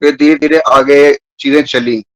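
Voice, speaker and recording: A man's voice: fairly high pitch, steady tone, normal speed, no room sound, rough recording. A man from New Delhi in his thirties, telling his personal story earnestly and at length as a guest on a video call. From New Delhi, India. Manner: earnest, rambling, mixes English words into speech.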